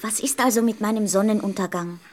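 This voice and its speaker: A woman's voice: medium pitch, brisk, natural voice. A little prince, acted by a woman in a radio play. The child is earnest and polite, with a questioning, curious way of speaking.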